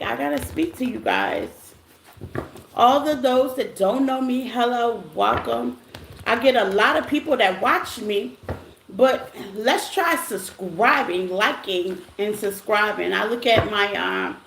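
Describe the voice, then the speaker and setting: A woman's voice: on the high side, gravelly, fairly bright tone. Scratchy-voiced woman chatting on a livestream. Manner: greets chatters by name, loose and chatty. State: voice rough after a silent morning. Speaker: tarot reader hosting a live stream. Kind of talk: casual livestream chat with viewers. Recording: echoey room with faint noise.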